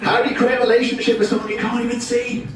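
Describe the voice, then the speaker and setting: A man's voice: very high-pitched, flat delivery, fast, a little hiss or hum. British man presenting in an echoey hall. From United Kingdom. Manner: jokey, self-deprecating. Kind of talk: business seminar talk. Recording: very echoey room, distant mic.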